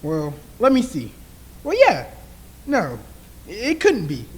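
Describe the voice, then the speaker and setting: Casting: man as high-pitched, suspicious husband for a vintage radio mystery, clipped, indignant, protective. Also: wildly swooping pitch, slow, husky, heavily acted. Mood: puzzled, concerned. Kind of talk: old-time radio play acting.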